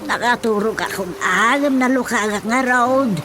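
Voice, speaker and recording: A woman's voice: on the high side, measured pace, background noise, natural voice. A woman with an Ilocano accent acting as a village wife and mother in a radio drama. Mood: drowsy, insistent. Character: rural mother, wife waking at night, questioning. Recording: music playing behind the voice.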